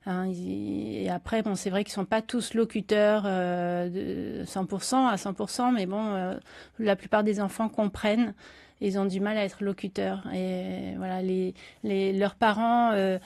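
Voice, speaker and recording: A woman's voice: mid-range voice, steady tone, unhurried, gravelly, a little mellow, dry recording. Woman guest on a morning show, lots of "euh", stops and restarts, dry close mic. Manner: hesitant, many fillers and restarts. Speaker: journalist and documentary director, guest. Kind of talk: morning radio interview, unscripted.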